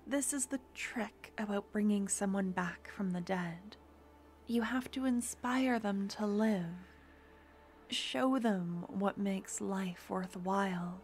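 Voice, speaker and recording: A woman's voice: mid-range voice, fairly even intonation, slow pace. A woman playing a whispering devoted lover. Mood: tender. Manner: soft, intimate, often whispering. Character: tender devoted woman in love, mystical. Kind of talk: intimate audio roleplay to the listener.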